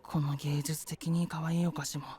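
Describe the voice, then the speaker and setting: Dark, husky, defeated stall vendor. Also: female voice, low voice, flat delivery, normal speed. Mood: bleak, resigned. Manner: polite, bleak, resigned. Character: gloomy, defeated sweets stall vendor who has given up hope. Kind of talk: Japanese voice drama acting.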